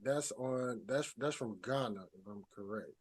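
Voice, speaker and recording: A man's voice: mid-range voice, measured pace. A man hosting a podcast in a casual interview style, speaking informally with slangy phrasing. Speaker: podcast host.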